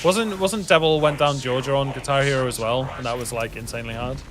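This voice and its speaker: A man's voice: medium pitch, a little fast, noisy background. A man in his thirties from West Wales with a Welsh accent, chatting casually to his stream while he plays, swearing often and laughing easily. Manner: swears casually, laughs a lot, rambles to chat. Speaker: game streamer. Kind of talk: casual live-stream chat. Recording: music playing behind.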